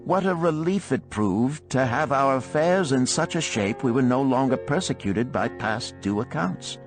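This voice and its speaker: A man narrating an audiobook of parables and letters in a measured, expressive storytelling manner. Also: medium pitch, faint music behind.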